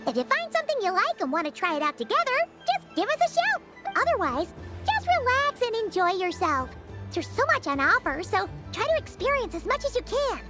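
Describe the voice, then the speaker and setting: Very high, animated, excitable game girl. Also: fairly quick. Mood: upbeat, encouraging. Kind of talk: video game voice acting. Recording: loud music under voice.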